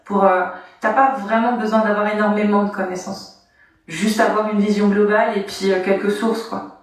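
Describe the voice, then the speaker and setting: A woman's voice: medium pitch, steady tone, moderate pace. A woman talking casually with lots of filler words as she explains her view. She sounds far from the mic, in a very echoey space. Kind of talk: live online debate.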